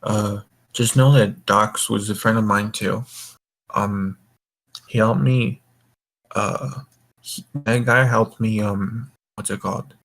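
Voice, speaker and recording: A man's voice: on the low side, fairly even intonation, slow, fairly bright tone, poor audio. A drunk young man hosting a casual group chat, rambling and swearing as he goes. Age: young adult. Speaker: voice call host.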